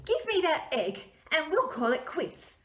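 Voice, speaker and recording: Woman voicing a plucky high-pitched little boy dragon. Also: big pitch swings, measured pace, fairly bright tone, heavily acted. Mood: defiant. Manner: eager, earnest, defiant. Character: small plucky young male dragon, easily upset. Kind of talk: children's storybook read-aloud. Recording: roomy echo.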